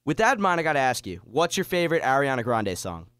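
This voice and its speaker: A man's voice: mid-range voice, sing-song, fast, fairly bright tone, clean tone, no echo. A young man hosting a pre-game sports radio interview, asking questions in a conversational way.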